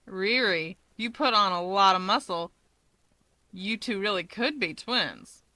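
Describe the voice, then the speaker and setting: A woman voicing a sardonic, lazy young man in a story, with a flat, dry drawl. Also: mid-range voice, unhurried, no echo. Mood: mocking.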